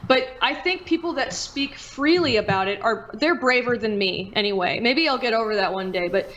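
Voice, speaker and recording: A woman's voice: a little high, lively intonation, normal speed, fairly bright tone, lo-fi sound. A woman chatting casually and candidly as a podcast host, opinionated and swearing freely.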